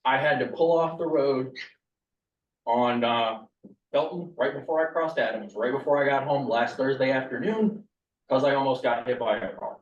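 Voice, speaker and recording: A local resident giving a blunt, frustrated public comment at a community meeting. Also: male voice, mid-range voice, normal speed. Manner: blunt, animated, building frustration. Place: meeting room. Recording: echoey room, distant mic, dull sound.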